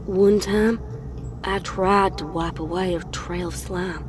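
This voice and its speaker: A woman playing a disfigured pony in a slow horror monologue, haunted and obsessive, breathy and often dropping to a whisper. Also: on the low side, steady tone, noisy background. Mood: morbid fascination. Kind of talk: slow horror monologue, scripted dramatic reading.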